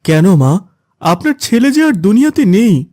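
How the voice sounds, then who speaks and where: A man's voice: high voice, sing-song, measured pace, mellow, no room sound, studio quality, exaggerated voice. A man playing a concerned villager in a cartoon moral story, speaking earnestly and with urgency. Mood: concerned, pleading. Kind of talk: cartoon dialogue.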